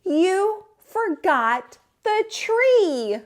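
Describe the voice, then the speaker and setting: Female reader as storybook moose kid: high, dismayed, pleading, stretching out exclamations. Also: sing-song, drawn-out words, a little hiss or hum, exaggerated voice. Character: young moose child, upset and pleading.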